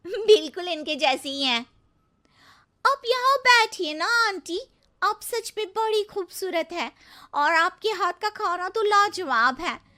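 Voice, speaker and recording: A woman's voice: very high voice, pushed and bright, full character voice. A woman voicing chatty young city friends in a cartoon story, effusive and full of exclamation.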